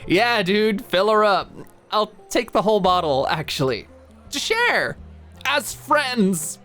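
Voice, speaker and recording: A woman voicing a nervous, high-strung young woman in an audiobook, awkward and trying hard to sound casual. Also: very animated, fairly bright tone, faint music behind. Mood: high-strung, flippant. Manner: halting, awkward, forced casual, flippant.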